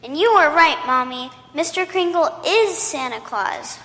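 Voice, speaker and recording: A woman voicing an earnest, hopeful little girl in a radio play, her lines sometimes wistful and sometimes excitable. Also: high voice, unhurried, in a large hall. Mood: excited.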